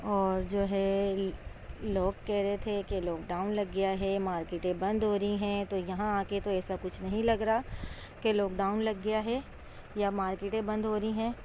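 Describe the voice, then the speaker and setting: A woman's voice: medium pitch, little pitch movement, a little slow, mellow, poor audio. A woman casually narrating a vlog as she goes about. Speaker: vlogger, mother. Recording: clear outdoor street and vehicle noise.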